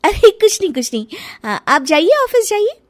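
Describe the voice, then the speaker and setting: A woman voicing a sassy, sweet-talking young daughter-in-law in an animated story, with exaggerated, expressive delivery. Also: extremely high pitch, normal speed, no room sound, voice pushed up. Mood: coaxing. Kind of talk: animated Hindi moral story acting.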